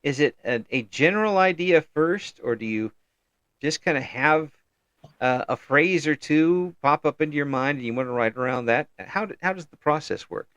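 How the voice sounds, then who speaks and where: A middle-aged man hosting an interview in a casual, chatty way, often restarting sentences and answering with quick yeses. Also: a little high, animated, a bit bright, no echo, lo-fi sound. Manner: chatty, frequent restarts and affirming "yeah"s. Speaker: interview host.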